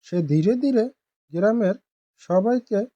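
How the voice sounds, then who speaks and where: A woman narrating a scripted village fable as a storyteller, in a measured, earnest manner. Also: medium pitch, lively intonation, dark tone, no room sound, dull audio.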